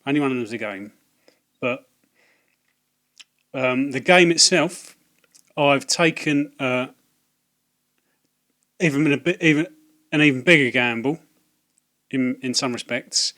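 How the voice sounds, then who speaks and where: A slightly hoarse man, talking casually to camera about video game purchases, hesitant with many ums and restarts. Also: medium pitch, unhurried, pauses often, sharp tone. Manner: hesitant, lots of ums and restarts, wry asides. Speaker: video game collecting vlogger. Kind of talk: casual rambling vlog to camera.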